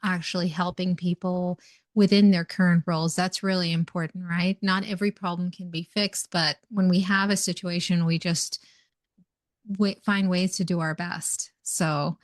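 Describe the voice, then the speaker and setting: A woman's voice: on the low side, fairly even intonation, moderate pace, dry recording. A woman hosting a conversational interview, introducing and questioning her guest. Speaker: podcast host, organizational coach.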